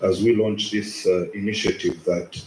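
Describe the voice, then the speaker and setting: A man, a company representative, giving a halting formal speech at a launch event. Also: deep voice, fairly even intonation, darkish tone, echoey room, a little hiss or hum, poor audio. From Zambia.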